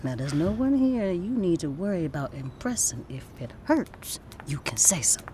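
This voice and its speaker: Bold, protective woman with lively low-ish pitch. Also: normal speed, slight background noise. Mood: firm, caring. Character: bold, protective woman activist, Ella, kindly to strangers. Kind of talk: naturalistic audio drama acting.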